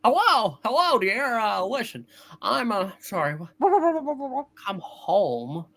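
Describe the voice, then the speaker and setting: A man performs an earnest young businessman from a hammed-up radio play. The delivery is halting and flustered, with a bright edge and lively, swooping intonation. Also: very high voice, voice pushed up, exaggerated voice. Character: George Bailey, earnest flustered young small-town husband and businessman.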